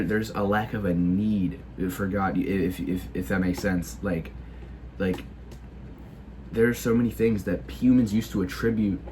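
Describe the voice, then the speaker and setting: Low-voiced teen boy, sick and halting. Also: a little mellow, a little hiss or hum, rough recording. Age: teens. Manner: hesitant, heavy with filler words and restarts. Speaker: video creator. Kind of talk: casual opinion monologue.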